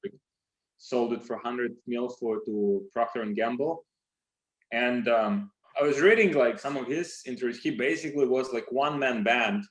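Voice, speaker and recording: A man's voice: medium pitch, moderate pace, rough recording. A man hosting an interview, talking loosely and casually, with frequent "like" and some swearing. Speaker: host.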